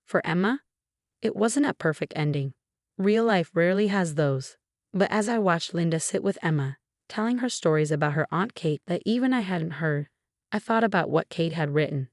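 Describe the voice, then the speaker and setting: Female story narrator, lowish pitch, measured and earnest delivery, very dry close sound. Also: animated, no echo. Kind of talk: scripted first-person story narration.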